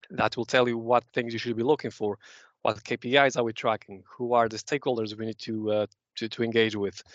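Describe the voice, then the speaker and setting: A man's voice: mid-range voice, no room sound, dull audio. A man from Portugal presenting a data visualisation talk to a user group, explaining with frequent "uh" restarts. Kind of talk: conference talk with slides.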